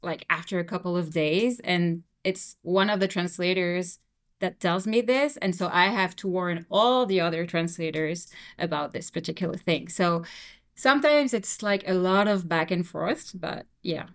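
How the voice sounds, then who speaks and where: Young woman, french first language, laid-back chatty guest, lowish voice, lots of ums. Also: normal speed. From Belgium. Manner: relaxed, frequent fillers and "you know". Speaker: guest translator. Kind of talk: casual interview talk.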